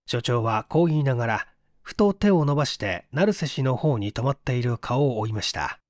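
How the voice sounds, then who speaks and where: Expressive male story narrator, dry close sound. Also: mid-range voice, normal speed, no room sound. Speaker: narrator, audiobook reader.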